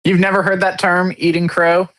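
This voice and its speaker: A man's voice: high-pitched, level tone, normal speed, fairly bright tone. A man in casual chat, curious and explaining things to the others.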